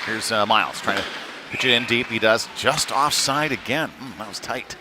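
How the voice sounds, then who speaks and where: A man giving live play-by-play of youth hockey in a conversational, folksy manner, with pauses between calls. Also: on the low side. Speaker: play-by-play commentator. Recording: noisy live rink feed.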